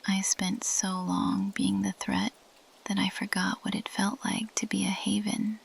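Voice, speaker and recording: A woman playing an ancient, possessive plant monster girl in an intimate ASMR roleplay, speaking in a breathy, lingering whisper. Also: mid-range voice, flat delivery, a little slow, full character voice. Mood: soft, tender.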